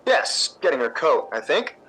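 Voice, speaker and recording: An affable but uneasy playwright in a 1950s radio play, acted by a man. His voice is fairly high and very animated as he tries to placate. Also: normal speed, soft background music, rough recording. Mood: placating. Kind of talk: 1950s radio drama acting.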